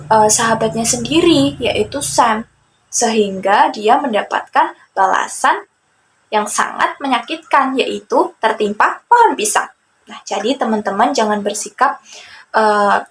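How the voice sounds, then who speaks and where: A young woman storyteller from Indonesia with a fairly high voice. She speaks very slowly and expressively to children, with faint ambient noise behind her. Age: young adult. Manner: deliberate, addressing young listeners directly.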